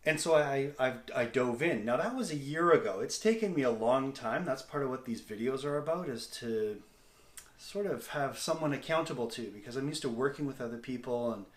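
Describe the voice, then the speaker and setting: Canadian guy doing a casual video diary, kinda halting and self-deprecating, roomy echo on it. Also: medium pitch, expressive. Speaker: comedic actor and video diary host. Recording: echoey, roomy sound.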